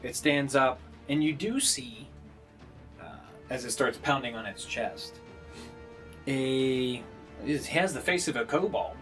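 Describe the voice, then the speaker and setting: A man's voice: medium pitch, slow, a bit bright, faint music behind. A man improvising narration as the game master of a casual tabletop role-playing session.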